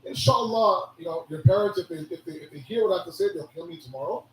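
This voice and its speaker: A hoarse man giving a sermon, preaching with animated rhetorical questions. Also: high voice, quick pace, slight background noise. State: hoarse, losing his voice. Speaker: religious speaker. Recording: echoey hall from a distance.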